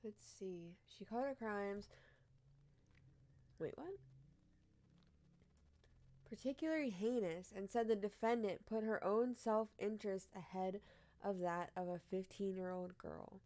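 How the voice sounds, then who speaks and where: Young woman streamer, kinda deep voice, swears and laughs, chill wry commentary on weird news. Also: unhurried, long pauses, dark tone. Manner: blunt, swears, laughs often, comments wryly on headlines. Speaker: streamer reading odd news. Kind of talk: casual live-stream chat.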